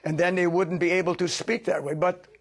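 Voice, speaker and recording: A man's voice: high-pitched, level tone, a little fast. An older man answering interview questions as a sociologist, emphatic and lecturing, asking himself rhetorical questions.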